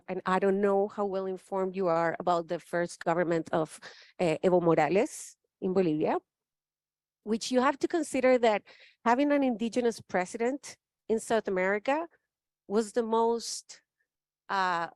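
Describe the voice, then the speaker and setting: A woman speaks as a forum panelist. She is thoughtful and emphatic and often checks in with her listeners. Her voice sits at a middle pitch and the sound is dry. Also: darkish tone, no echo. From Chile. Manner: thoughtful, frequent "right?" tags, emphatic. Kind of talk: spoken forum remarks.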